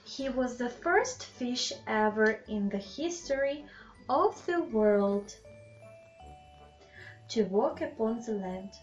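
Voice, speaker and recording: A woman reading a children's bedtime story aloud in an expressive, deliberate way, with asides to young listeners. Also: on the high side. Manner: slow, deliberate, animated phrasing with questions to the child listeners. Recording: slightly dull, lo-fi sound with music.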